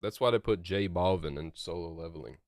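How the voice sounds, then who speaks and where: A man's voice: deep voice, fairly quick, no room sound, studio quality. A young man streaming a video game, chatting casually with viewers in a rambling, laid-back way full of fillers. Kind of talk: casual livestream chat while gaming.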